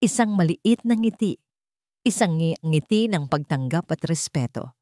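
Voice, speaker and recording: A woman's voice: lowish voice, animated, normal speed, dark tone, no echo, studio quality. A woman narrating a dramatic romance story in a sentimental, emphatic storytelling manner.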